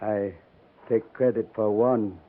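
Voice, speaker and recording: Tinny old-radio dying old chief. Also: male voice, lowish voice, steady tone. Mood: regretful. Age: older. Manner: solemn, measured, formal poetic phrasing. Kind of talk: 1950s radio drama acting. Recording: narrow tinny 1950s radio recording.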